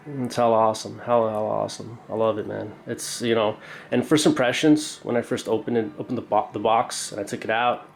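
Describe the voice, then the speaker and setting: Congested man rambling, flat tone. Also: lowish voice, fairly quick, gravelly. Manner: rambling, many fillers, slangy and excited. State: congested from a cold. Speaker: knife collector reviewing a purchase. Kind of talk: casual unboxing ramble. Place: indoor room, camera over a tabletop. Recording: roomy echo, faint background hiss.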